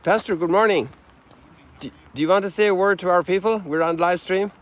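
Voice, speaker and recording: A man's voice: high voice, a little fast. A man in his sixties, a priest hosting a reflective livestream chat outdoors. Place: outdoors on a lakeshore. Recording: outdoor ambience noise.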